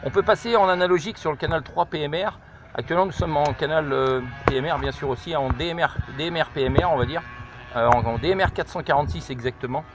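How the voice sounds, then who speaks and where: A man's voice: on the high side, fast. A middle-aged man making informal radio calls during a field test, repeating himself and hesitating as he goes. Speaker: amateur radio operator testing a handset. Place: outdoors on a high viewpoint over countryside. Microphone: camera held just behind his shoulder. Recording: noisy outdoor phone camera, clicks.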